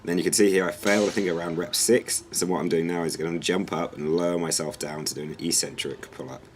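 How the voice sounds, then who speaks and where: A hoarse young man, losing his voice, talks through his workout in a relaxed, rambling vlog style. His voice sits extremely low, and a faint hum of background noise runs under it. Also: fast. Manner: explanatory, relaxed, slightly rambling. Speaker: fitness vlogger. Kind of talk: casual vlog narration.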